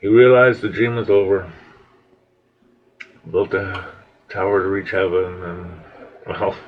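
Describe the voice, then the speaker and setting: A man telling a biblical story in an improvised, conversational way, halting and rambling as he reflects. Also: sits low, fairly even intonation. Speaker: storyteller, podcast host. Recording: dull lo-fi audio with background noise.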